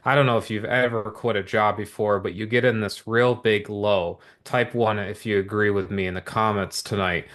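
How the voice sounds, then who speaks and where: A man presenting a business opportunity in an upbeat, motivational pitch to livestream viewers, talking with lots of repetition and quick asides. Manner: energetic, repetitive motivational patter with many asides.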